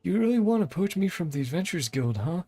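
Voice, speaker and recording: A man's voice: high voice, big pitch swings, quick pace, warm tone. A man streaming a video game, casually commenting and reading dialogue aloud over game music.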